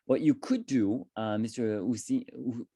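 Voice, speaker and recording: A man's voice: mid-range voice, a little slow, breathy edge, dry recording, clean studio sound. A man, an English teacher chatting casually with his student and asking lots of questions. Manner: chatty, repeats and restarts, lively rising questions.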